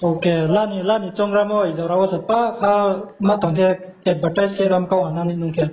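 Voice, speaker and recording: Man, high-pitched voice, informal talk, recorded phone call. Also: steady tone, extremely slow, darkish tone.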